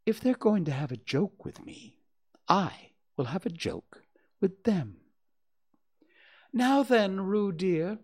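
A storybook reader voicing a brisk, motherly female kangaroo, teasing and firm, by turns soothing and mock-severe. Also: medium pitch, lively intonation, a little mellow, breathy edge, pitched above the performer's own voice. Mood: sly, cheerful. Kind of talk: children's storybook character voice.

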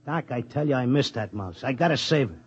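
Brief: streetwise New tip-sheet man, gruff and slangy, 1950s radio play, muffled lo-fi audio. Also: medium pitch, expressive, fairly quick. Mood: determined. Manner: slangy, blunt, tough-guy talk. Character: streetwise Broadway racetrack tipster, devoted husband. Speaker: narrator and lead. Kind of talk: 1950s radio drama acting. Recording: dull 1950s radio broadcast.

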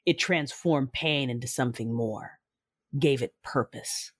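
A woman playing a cold, vengeful dark ruler with a husky voice, narrating a space fantasy audio drama. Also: very deep voice, measured pace, no echo. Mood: cold, brooding. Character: cold vengeful Sith ruler, seething and menacing.

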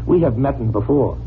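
Dim, excitable cabbie, tinny radio. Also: male voice, medium pitch. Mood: gleeful. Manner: slangy, naive, excitable. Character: dim, good-natured, streetwise cabbie, a man. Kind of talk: 1940s radio acting. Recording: tinny, noisy old radio recording.

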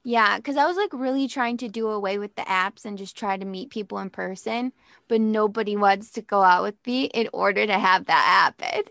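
A woman's voice: medium pitch, moderate pace, gravelly, a bit bright, no room sound, studio quality. An American woman in her thirties co-hosting a podcast in casual chat, with a self-deprecating, wryly exaggerating manner. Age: 30s. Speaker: podcast co-host.

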